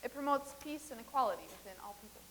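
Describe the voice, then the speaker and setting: Brief: woman reading as a matter-of-fact future visitor, earnest and deadpan, slightly high voice. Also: fast, slight background noise. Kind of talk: staged comedy reading.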